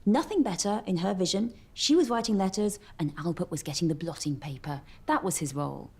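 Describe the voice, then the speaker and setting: A woman's voice: on the low side, fairly quick, darkish tone, husky. A woman giving historical commentary in a documentary interview, telling it in vivid, declarative statements.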